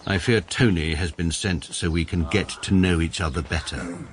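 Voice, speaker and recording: An actor in his forties playing a pompous, precise barrister who narrates in a dry, deadpan, wry manner. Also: sits low, normal speed, background noise, lightly acted. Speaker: first-person narrator and lead character.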